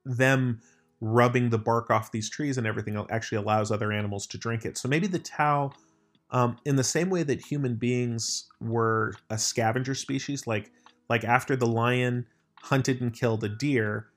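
A man's voice: medium pitch, fairly even intonation, normal speed, faint music behind. An American man hosting a casual chat, rambling with lots of restarts and filler words. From United States.

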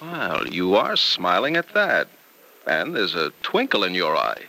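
An actor playing an eager, lovestruck doctor in a vintage radio serial. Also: male voice, deep voice, wildly swooping pitch, measured pace, creaky, sharp tone, exaggerated voice, poor audio. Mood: teasing. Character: eager lovestruck male hospital doctor, gushing and earnest. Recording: old radio recording.

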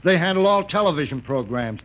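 A middle-aged American company boss in a classic radio play. He speaks high and with lively swings, blustering and bossy. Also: fairly quick, low-quality recording. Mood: dismissive, assured.

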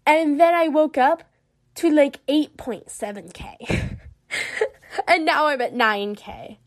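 A woman's voice: sits high, takes it slowly. Chatty Southern American woman rambling. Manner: rambling, giggly, animated, lots of fillers. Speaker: podcast host. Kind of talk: casual solo chat while drawing.

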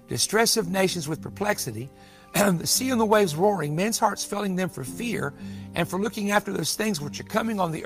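A man's voice: high-pitched, gravelly, fairly bright tone. A man in his sixties teaching the Bible in a sermon style, emphatic and given to repeating phrases for weight. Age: 60s. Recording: music bed under the voice. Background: music.

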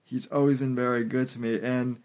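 A young man chatting casually as a podcast host, telling stories haltingly, circling back and repeating himself. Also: mid-range voice, level tone, a little mellow. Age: young adult. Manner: halting, repetitive, filler-heavy delivery. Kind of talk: casual conversational anecdote.

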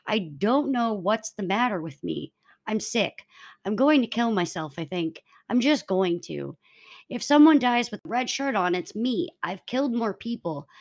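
A woman hosting a true crime podcast, narrating in an informal, conversational way between bits of casual chat. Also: mid-range voice.

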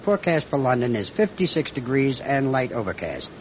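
An actor playing a commanding but rattled veteran airline captain in a classic radio drama. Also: male voice, mid-range voice, sing-song, brisk, lightly acted. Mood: calm, courteous. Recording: dull, noisy old radio recording.

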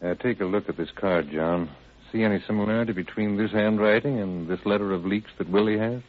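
An actor playing a steady, methodical county sheriff who questions in a measured, folksy way, in an old radio crime drama. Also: male voice, on the low side, natural voice. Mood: calm, businesslike. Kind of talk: 1940s-50s radio crime drama acting. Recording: tinny band-limited old radio recording.

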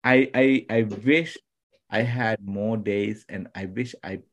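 Halting, emphatic man from Sri Lanka. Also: fairly low pitch. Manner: halting, many restarts, emphatic bursts. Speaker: podcast guest, former contestant. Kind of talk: casual chat.

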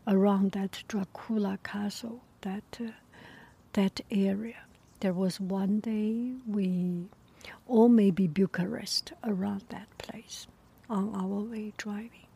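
Slow, breathy whispering woman. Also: medium pitch, steady tone. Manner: soft whispering, halting with many pauses. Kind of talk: whispered personal storytelling.

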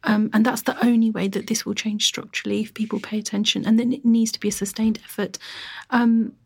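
A woman historian giving measured, emphatic answers in an interview. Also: a little high, level tone, creaky, slightly rough. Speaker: historian, guest.

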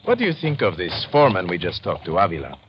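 Low-voiced, formal police lieutenant. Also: male voice, huge pitch swings, quick pace, noisy background. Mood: polite, formal. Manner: formal, deferential, precise. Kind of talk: old radio drama acting. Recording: muffled old radio recording, loud music.

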